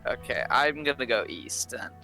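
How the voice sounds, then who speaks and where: A man's voice: mid-range voice, animated, moderate pace, sharp tone, soft background music, rough recording. A man chatting casually as a player in a group game, hesitant and noncommittal. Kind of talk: casual group chat while gaming.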